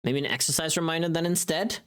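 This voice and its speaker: A streamer chatting casually and playfully on a livestream, thinking aloud in an expressive way. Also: mid-range voice, quick pace, clean tone. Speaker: livestreamer and voice actor making a voice pack.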